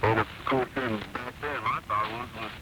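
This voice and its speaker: A man in his forties calling a radio show, telling stories in a casual, halting, rambling way over a shortwave broadcast. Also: medium pitch, fairly even intonation. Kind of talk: casual phone-in chat. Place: phone call into radio show. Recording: very noisy shortwave broadcast, distant caller sound.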